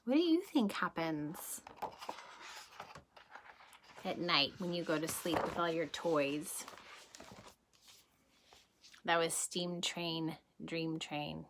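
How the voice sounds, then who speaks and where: A woman kindergarten teacher reading a rhyming picture book aloud in a slow, sing-song way, pausing to ask the children gentle questions. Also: sits low, expressive, slight background noise.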